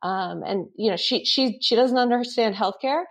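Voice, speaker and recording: A woman's voice: on the high side, normal speed. A woman in her thirties, a company founder, answering interview questions with enthusiasm and frequent ums. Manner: enthusiastic, lots of fillers. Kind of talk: casual interview chat.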